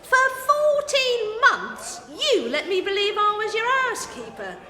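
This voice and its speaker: Brazen, shrill, quarrelsome British woman. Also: very animated, measured pace, bright, forceful tone, roomy sound, in a large hall, some chatter behind, full character voice. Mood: outraged. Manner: brash, taunting, theatrical. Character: brazen, quarrelsome woman in a melodramatic lovers' row. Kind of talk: comic radio play acting.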